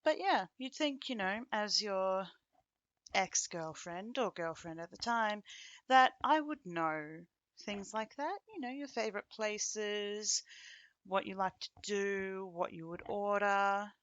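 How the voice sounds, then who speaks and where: Casting: woman to play a bitter young ex-girlfriend for ASMR roleplay; sardonic, playfully menacing, unhurried delivery, close dry sound. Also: mid-range voice, lively intonation, a bit bright, dry recording, muffled recording. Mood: teasing, familiar. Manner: teasing, sardonic, with dry chuckles and pointed pauses. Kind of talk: ASMR roleplay monologue to the listener.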